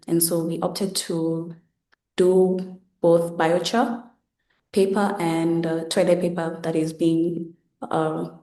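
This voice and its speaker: A woman's voice: fairly low pitch, warm tone. A young woman giving a student project presentation, explaining things a little hesitantly over an echoing online call. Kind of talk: semi-prepared project presentation.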